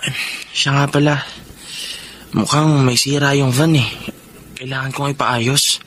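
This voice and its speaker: A man's voice: mid-range voice, steady tone, unhurried, a bit bright, a little hiss or hum, lightly acted. A man with a Filipino accent playing a wheedling, cocky gambler and secret lover in a radio drama. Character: smooth-talking scheming gambler, younger lover of older woman.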